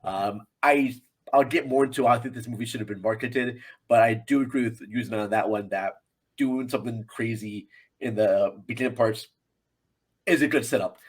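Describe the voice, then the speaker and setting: An American man talks in a casual, rambling way as a guest commentator on a film podcast. His voice is husky and sits at an ordinary male pitch, and he repeats phrases to drive a point home. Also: normal speed, rough recording.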